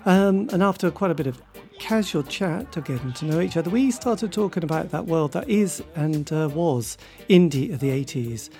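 A man's voice: fairly high pitch, expressive, moderate pace, warm tone, faint music behind. A man, hosting a radio interview in a rambling, chatty way. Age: 50s. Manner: chatty, rambling with many sort-ofs. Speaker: radio show host. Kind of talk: casual interview chat.

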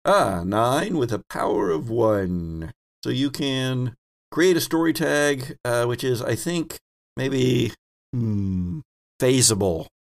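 A man's voice: mid-range voice, expressive, slow pace, gravelly. A man hosting a tabletop game as game master in a casual, hesitant, playful way.

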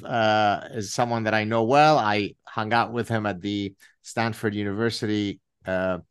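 A man's voice: mid-range voice, dry recording, studio quality. A middle-aged man hosting an interview podcast, talking in a chatty way with asides and fillers. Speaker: podcast host. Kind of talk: interview conversation.

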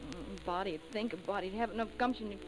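A woman's voice: medium pitch, fast talker, music in the background, lightly acted, poor audio. An actress playing a sweet but sassy young backwoods girl with a rural Southern US accent, in old radio drama style. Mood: wistful, exasperated. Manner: animated, folksy backwoods dialect.

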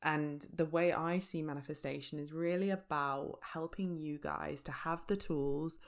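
Chatty woman with a low, croaky voice. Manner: chatty, laughs often, many fillers. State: croaky, slightly sore throat. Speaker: podcast host. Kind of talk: casual solo podcast talk.

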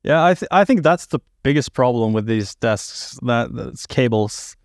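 Man, non-native English speaker, halting, smooth clear voice, dry close sound. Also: medium pitch, expressive, no echo. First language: not English. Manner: halting, with many fillers and restarts. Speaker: composer guest. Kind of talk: casual podcast chat.

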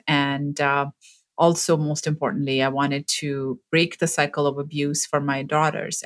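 A woman in her forties from Pakistan, raised in Abu Dhabi, telling her story as an interview guest in an earnest, measured way with hesitant fillers. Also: deep voice. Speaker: guest, author and medical student.

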